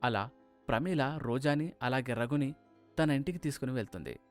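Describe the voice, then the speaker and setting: A man's voice: mid-range voice, moderate pace, dry recording, soft background music. A man reading scripted narration for a moral story as its narrator.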